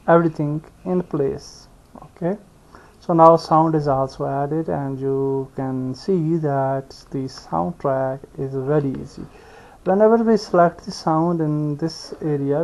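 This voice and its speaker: A man giving an unscripted step-by-step software tutorial, speaking haltingly and thinking aloud as he works. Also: on the high side, vocal fry. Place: indoors, screen-recording voice-over. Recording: dull lo-fi voice recording.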